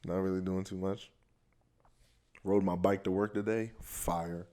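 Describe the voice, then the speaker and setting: A young man with a deep, monotone voice, chatting casually as a podcast guest, laid back and full of slang. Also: measured pace. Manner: laid-back slang, repeats "you dig". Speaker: podcast guest, rapper and label owner. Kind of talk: casual chat. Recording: echoey room.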